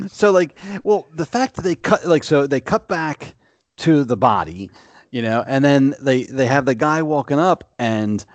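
A man's voice: on the high side, animated, a little slow, no room sound. A man co-hosting a casual podcast, talking in a loose, rambling way full of fillers. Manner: rambling, filler-heavy, easy laughs. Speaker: podcast co-host. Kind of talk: casual chat.

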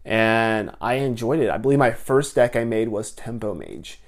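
A man's voice: lowish voice, animated, moderate pace. A young man talks through a laid-back solo podcast recorded at home. He rambles a bit, restarts his sentences and leans on filler words. His voice sounds a little stuffy from a lingering head cold. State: getting over a head cold. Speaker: podcast host. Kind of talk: casual solo podcast talk.

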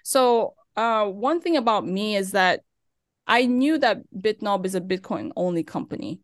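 A woman's voice: mid-range voice, animated, unhurried, no room sound, studio quality. A young woman from Turkey, now in Nigeria, chatting casually as a podcast guest, with many fillers. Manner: chatty, with frequent fillers and laughs. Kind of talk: casual interview chat. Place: living in Nigeria.

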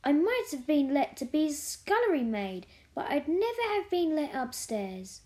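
A woman's voice: sits high, sing-song, unhurried, dark tone, pitched above the performer's own voice. A woman voicing a good-humoured, plain-spoken young housemaid who talks in broad Yorkshire dialect, for a storybook. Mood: cheerful, chatty.

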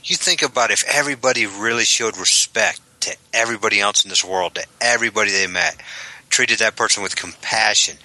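A man's voice: lowish voice, sharp tone, dry recording, poor audio. A man co-hosting a casual podcast, easygoing and agreeable, telling stories at length. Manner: easygoing, agreeable, drawn-out storytelling. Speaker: podcast co-host.

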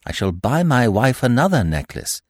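A man's voice: mid-range voice, very animated, normal speed, husky, dry recording, studio quality. A man doing a stammering, panicky middle-aged gent. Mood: flustered. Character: flustered middle-aged stepfather, nervous and guilty.

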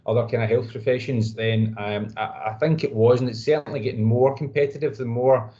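A man's voice: mid-range voice, fairly even intonation, a little fast, dark tone, lo-fi sound. A Scottish man in his thirties answering interview questions in a modest, rambling way. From Scotland. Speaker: guest physiotherapist.

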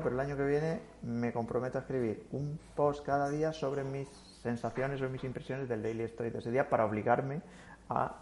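Guy guest chatting casually, thinks out loud, lots of restarts, mid pitch. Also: a little hiss or hum. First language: Spanish. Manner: thoughtful, rambling with many restarts. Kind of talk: casual interview chat.

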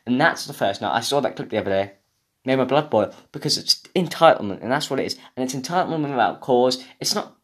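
A man's voice: medium pitch, expressive, fast. Sweary young British man on a rant. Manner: sweary, mock-indignant, rambling. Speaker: podcast host. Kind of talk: casual comedic rant.

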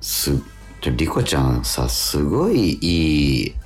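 A man with a very deep voice and lively, swinging intonation co-hosts a casual radio talk over faint music. He is reactive, dropping in short interjections and repeating words as he goes. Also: unhurried. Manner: reactive, frequent nodding interjections and repetitions. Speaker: radio show co-host.

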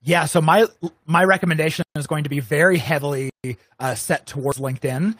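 A man's voice: fairly high pitch, brisk, slightly rough, dry recording. Man from Arizona giving casual advice. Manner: relaxed, conversational, acts out imagined viewers' thoughts. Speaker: guest.

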